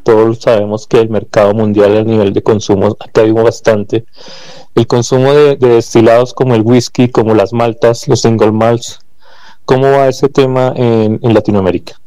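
A man asking a relaxed question during an interview conversation. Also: medium pitch, moderate pace, dry recording, rough recording. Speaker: guest questioner.

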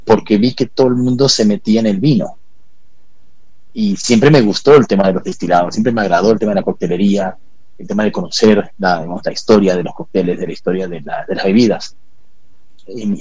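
Man, low voice, husky, dry close sound, calm explaining guest. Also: no room sound, low-quality recording. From born in England, raised in Peru, Ecuador and Colombia. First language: Spanish. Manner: measured, explanatory, with fillers. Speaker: whisky expert guest. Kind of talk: interview conversation.

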